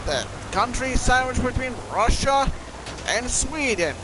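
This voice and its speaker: A man with a notably high voice rambles and rants over his gameplay, cursing offhandedly. Loud game noise and engine and water sounds sit under his speech, picked up on a desktop mic. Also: lively intonation, measured pace. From Finland. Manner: ranting, swears offhandedly. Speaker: streamer. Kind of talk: casual gameplay stream commentary.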